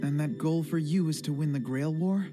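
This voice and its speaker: A man playing an earnest, kind and stubborn teenage boy in an English anime dub, talking in a casual, expressive way. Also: a little high, normal speed, natural voice. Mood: serious. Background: loud guitar music.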